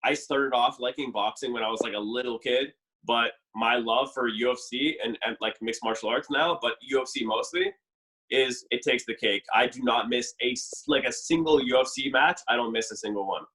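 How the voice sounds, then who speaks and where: A man's voice: mid-range voice, moderate pace, sharp tone, rough recording. A young man from Canada talking casually on a podcast, rambling with many fillers and "like". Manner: chatty, lots of fillers and "like". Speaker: dating show contestant.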